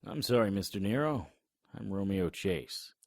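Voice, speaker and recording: A man's voice: sits low, animated, moderate pace, creaky, no room sound. A man narrating and acting the lead in a noir audio drama, dry and wry in manner.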